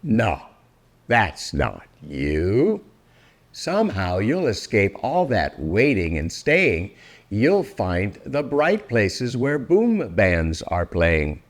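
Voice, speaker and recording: An older man reading a rhyming children's book aloud in a theatrical, deliberate way, drawing out words for effect. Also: fairly low pitch, wildly swooping pitch. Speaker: storybook reader.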